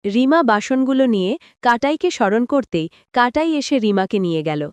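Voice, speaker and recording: High-voiced woman narrating a story. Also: animated, normal speed, darkish tone, clear, resonant tone, dry recording. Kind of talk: scripted cartoon story narration.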